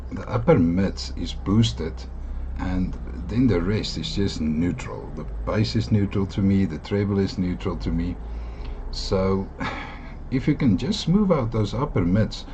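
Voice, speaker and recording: A South African man with a very low voice talks through an earphone review in a loose, halting way, full of "um" and "uh" and restarted phrases. His first language is Afrikaans. The recording sounds dull and lo-fi, with a faint hiss underneath. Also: mellow. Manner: halting, many fillers and restarts, enthusiastic asides. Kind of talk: casual product review.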